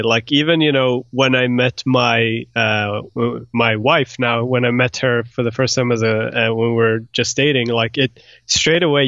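A man's voice: medium pitch, a bit bright, no echo. A man from Sweden chatting casually as an interview guest, on a slightly lo-fi recording. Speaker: guest, concept artist.

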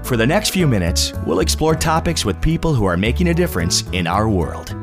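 A man's voice: mid-range voice, sing-song, fairly quick. A man reading a polished, scripted radio show intro. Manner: polished announcing. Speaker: show announcer. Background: guitar music.

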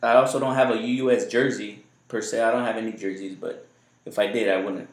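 A man's voice: fairly low pitch, steady tone, fairly quick. A man hosting a casual podcast chat, rambling loosely and repeating his words, whose first language was Spanish. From United States. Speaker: podcast host. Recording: echoey room.

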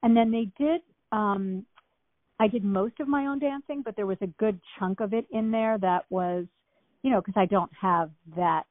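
A woman's voice: medium pitch, normal speed, no room sound. Woman guest, chatty and rambling, dry close sound, thin phone-like audio. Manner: chatty, rambling, many fillers and restarts. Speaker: actress guest. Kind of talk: casual interview talk. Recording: tinny phone-like line.

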